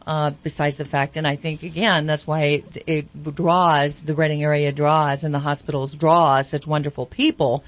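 A woman co-hosting a radio talk show, asking bubbly, halting questions. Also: low voice, level tone. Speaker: radio co-host.

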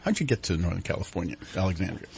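A man's voice: low voice, animated, racing, creaky, darkish tone, husky. A man co-hosting a radio talk show, asking questions in a rambling, stumbling way. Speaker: radio co-host.

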